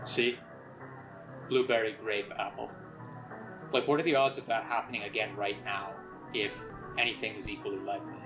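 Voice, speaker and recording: A man's voice: on the low side, steady tone, moderate pace, long pauses, lo-fi sound, over music, natural voice. An actor playing a curious, fixated man who narrates his video game in a musing, explanatory way. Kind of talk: natural found-footage let's-play narration.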